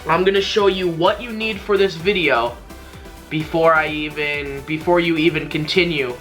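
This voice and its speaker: A man's voice: fairly high pitch, sharp tone. A young adult man hosting a casual tutorial to camera, often repeating and rephrasing himself as he goes. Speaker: YouTuber, tutorial host. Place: small bedroom gaming setup, indoors. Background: background music.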